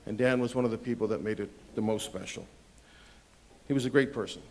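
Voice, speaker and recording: A man giving a heartfelt spoken tribute at a ceremony, hesitant at times with small pauses. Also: on the low side, a little mellow, slight background noise.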